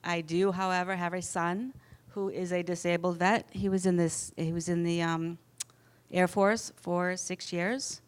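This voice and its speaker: A woman's voice: on the low side, little pitch movement, a little slow, clear, resonant tone. A middle-aged woman introducing herself on a conference panel, heartfelt, with frequent fillers. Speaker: corporate panelist. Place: conference panel in front of an audience.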